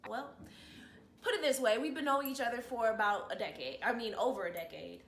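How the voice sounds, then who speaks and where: A woman's voice: on the high side, a little fast, gravelly, a bit bright. A woman of thirty-one talking casually about herself as an interview guest. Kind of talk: casual personal chat. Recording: echoey room with faint noise.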